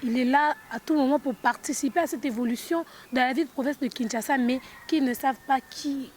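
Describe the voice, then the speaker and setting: A woman with a high voice and a Congolese accent hosts a live interview at a festival. She presents off the cuff, hesitating and restating phrases, with faint event noise around her. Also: fairly quick. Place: busy book festival venue. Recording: noisy event ambience. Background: event noise and ambience.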